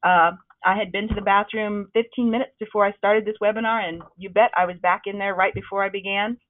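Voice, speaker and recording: A woman leading a webinar on presenting, with a loud, projecting voice that is starting to wear out, talking in a conversational, encouraging way with frequent ums. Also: medium pitch, steady tone, brisk. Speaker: presentation trainer. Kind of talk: webinar talk. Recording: narrow, phone-like webinar audio.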